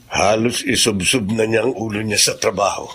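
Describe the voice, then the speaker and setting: A man's voice: fairly low pitch, steady tone, normal speed, fairly bright tone, slightly rough. An actor playing a worried, exasperated older Filipino father and grandfather in a radio drama.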